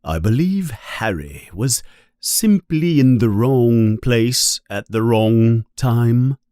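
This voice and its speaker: A man voicing a suspicious wizard professor in a storybook, speaking in a pointed, questioning, deliberate manner. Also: medium pitch, animated, warm tone, no room sound, studio quality. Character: suspicious, probing adult male wizard professor.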